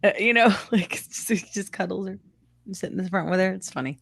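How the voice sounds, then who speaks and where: Playful woman with a creaky voice. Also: medium pitch, brisk, fairly bright tone. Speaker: podcast host. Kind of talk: casual group chat. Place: indoors at home.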